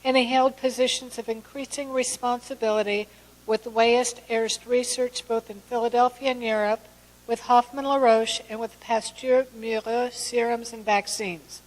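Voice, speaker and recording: A woman introduces a speaker with a formal, measured read. Her voice sits a little high. A long hall reverb trails each phrase. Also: steady tone. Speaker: event host introducing a speaker. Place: conference hall.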